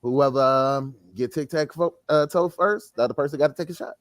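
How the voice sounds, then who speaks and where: A man's voice: medium pitch, brisk, no echo, clean studio sound. A man co-hosting a podcast, chatting casually in a slangy, profane, joking way. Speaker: podcast co-host. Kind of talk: casual chat.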